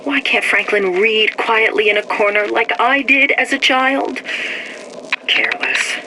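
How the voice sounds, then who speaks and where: A prim, fretful mother speaks anxiously in a high voice. A steady hiss sits behind the game audio log. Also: unhurried, low-quality recording.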